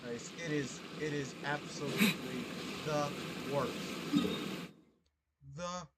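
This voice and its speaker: A man's voice: on the high side, takes it slowly, heavy background noise. A man giving casual gameplay commentary, repeating his phrases for emphasis. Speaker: gamer commentator.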